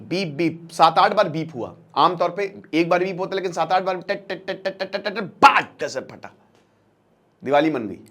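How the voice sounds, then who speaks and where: A man giving an informal current-affairs lecture as a storyteller, talking directly to listeners in colloquial language and repeating phrases for emphasis. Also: a little high. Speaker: current affairs educator and channel presenter. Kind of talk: informal explainer lecture, storytelling with acted-out dialogue.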